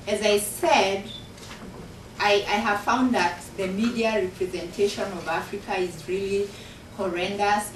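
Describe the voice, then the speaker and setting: A woman's voice: mid-range voice, recorded from a distance, background noise. Kenyan woman author in an echoey room. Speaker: author and university professor. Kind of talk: talk about her book to an audience. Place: university function room. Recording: echoey room recorded from afar.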